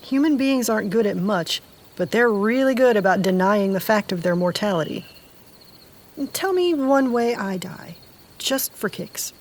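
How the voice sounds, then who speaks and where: Actress as casual, wry woman, medium pitch. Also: lively intonation, unhurried, a little mellow. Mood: wry, surprised. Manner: informal, wry. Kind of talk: audio drama acting.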